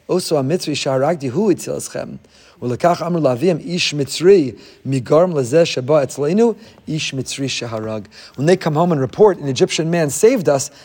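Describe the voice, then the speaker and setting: A man giving a Torah lesson, telling the story with energy, acting out the people he quotes and mixing Hebrew verses into his talk. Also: a little high. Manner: animated storytelling, acts out quoted figures. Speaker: Torah teacher.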